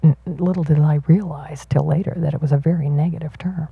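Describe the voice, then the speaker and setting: A woman voicing a signed panel talk as an interpreter, in measured, halting phrases at an auditorium panel. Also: sits low, steady tone, gravelly, slightly rough, no room sound, narrow, tinny audio.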